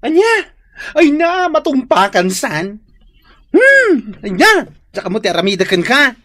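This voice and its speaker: A blunt, dramatic Ilocano best friend in a radio drama. The pitch leaps about with exclamatory exasperation, in a dry studio sound. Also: female voice, unhurried, dry recording, heavily acted. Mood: exasperated. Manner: animated, exclamatory.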